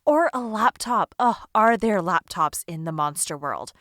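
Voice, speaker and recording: An excitable, curious listener in an audio drama. Her bright voice swings widely in pitch, recorded close and dry. She rambles playfully with wry skepticism, sounding natural and conversational. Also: measured pace, no room sound. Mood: delighted, teasing.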